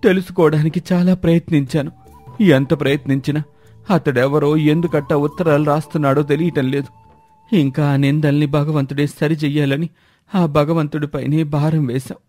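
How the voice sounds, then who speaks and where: A man's voice: fairly high pitch, little pitch movement, brisk, dark tone, dry recording, faint music behind, close to an everyday voice. A man playing a troubled father in a moral story, speaking with an aggrieved, heavy-hearted manner. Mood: sorrowful, helpless. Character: sorrowful, helpless father of a slandered daughter. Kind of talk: dramatised moral story dialogue.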